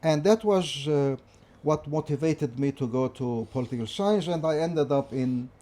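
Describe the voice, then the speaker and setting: A middle-aged man from Lebanon with a Lebanese accent, a professor recalling his family history and studies in an interview, speaking in a measured, hesitant way with many fillers and restarts. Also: on the high side, mellow. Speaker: guest, political science professor. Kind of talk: interview, personal recollection.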